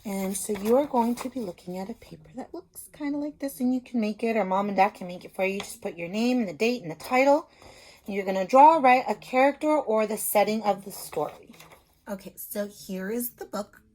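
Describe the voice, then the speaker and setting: A woman with a middle-pitched voice speaks as an elementary teacher. She reads aloud and explains things simply, step by step, as in a lesson for young children. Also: a little fast, darkish tone, rough recording.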